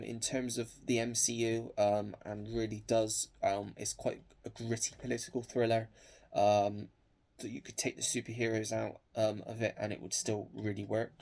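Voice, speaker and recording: A man's voice: lowish voice, gravelly, a little mellow. A young man with a cold, sniffling as he chats hesitantly with lots of ums about his film collection. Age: young adult. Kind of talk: casual collection showcase.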